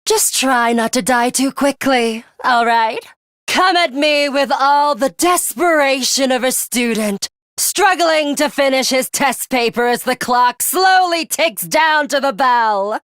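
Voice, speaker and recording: A woman's voice: sits high, lively intonation, takes it slowly, a bit bright, smooth, no echo, exaggerated voice. A woman playing a bloodthirsty, disdainful young female student commander in a video game, haughty, taunting and commanding.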